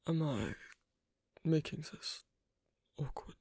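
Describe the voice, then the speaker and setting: A performer voicing a shy, awkward, asocial young werewolf in an intimate ASMR roleplay, speaking softly and haltingly in a breathy, husky near whisper. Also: medium pitch, no room sound, studio quality, exaggerated voice. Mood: awkward, nervous. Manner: hesitant, halting, soft-spoken with long pauses.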